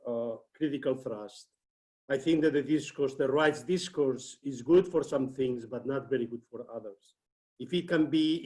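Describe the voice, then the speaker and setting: A man's voice: medium pitch, a little mellow. A man from Spain, asking a long, thoughtful question over a video call with many hesitations. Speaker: seminar participant asking a question.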